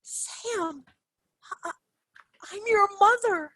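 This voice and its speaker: A woman playing an emotional long-lost spy mother with halting, theatrical delivery in a stage play. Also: very high voice, fairly bright tone, dry recording, exaggerated voice. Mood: emotional, tender.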